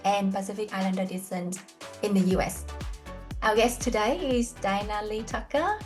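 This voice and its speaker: Female podcast host, music behind. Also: medium pitch. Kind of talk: podcast introduction and interviewing.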